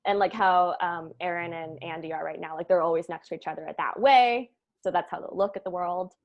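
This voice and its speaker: A woman's voice: fairly low pitch, brisk, dull audio. A woman leading a cast meeting in casual, rambling talk with an informal, jokey manner. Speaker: show creator leading a cast table-read meeting. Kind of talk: casual chat.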